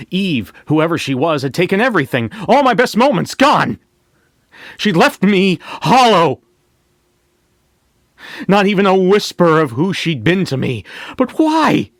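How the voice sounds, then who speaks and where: A man's voice: medium pitch, no echo, clean studio sound. An actor playing a lovelorn, wounded man who confesses his loss in a dramatic first-person audio story monologue. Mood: anguished.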